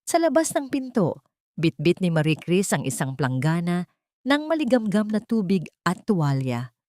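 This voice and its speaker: Low-voiced woman narrating dramatic fiction. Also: sing-song, moderate pace, mellow, dry recording, clean studio sound. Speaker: storyteller.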